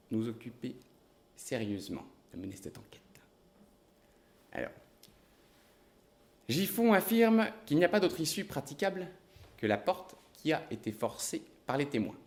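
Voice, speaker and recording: An actor playing a calm, reasoning male detective who speaks in a measured, deliberate way. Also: medium pitch. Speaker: investigator.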